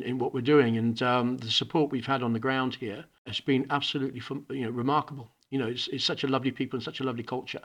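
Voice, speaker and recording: A man's voice: medium pitch, a bit bright. A middle-aged man, a founding school principal, talking earnestly in a conversational podcast interview, with many restarts and repeated words. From UK and Hong Kong.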